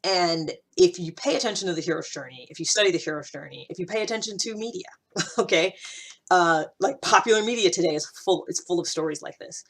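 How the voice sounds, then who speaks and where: Low-voiced woman in reflective, emphatic monologue. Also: brisk, slightly rough. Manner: conversational and emphatic, repeats phrases for emphasis. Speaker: host of a personal development podcast.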